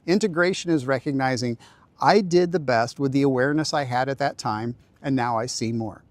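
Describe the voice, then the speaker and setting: A man speaking straight to viewers in a reflective monologue, with earnest, deliberate short phrases. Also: medium pitch, no room sound. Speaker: video creator speaking to viewers. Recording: dry close voice with faint music. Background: faint music.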